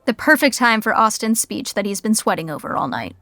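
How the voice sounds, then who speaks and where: A woman narrating a scripted sitcom podcast with wry, deadpan asides. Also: medium pitch, a little fast, dry recording.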